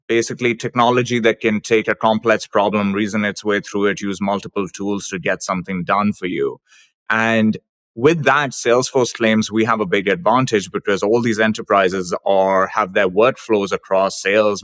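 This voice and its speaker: A man's voice: lowish voice, a bit bright. A man from Pakistan co-hosting a podcast, laying out context before asking an earnest, thoughtful question. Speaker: podcast co-host. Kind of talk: conversational podcast interview.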